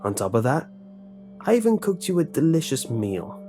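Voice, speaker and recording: A man's voice: mid-range voice, sing-song, normal speed, warm tone, soft background music, voice pushed up. A man voicing a bitter, menacing middle-aged lunch lady with a heavy accent, who barks short orders in broken speech. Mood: resentful. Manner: resentful, threatening, barking short orders.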